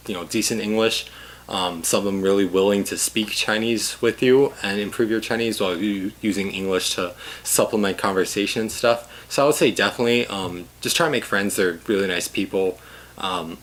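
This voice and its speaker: Teenage American man, lowish voice, easygoing advice monologue with frequent "um"s. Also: fairly bright tone. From United States. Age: teens. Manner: loose and conversational, many "um" fillers. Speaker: former exchange student giving advice.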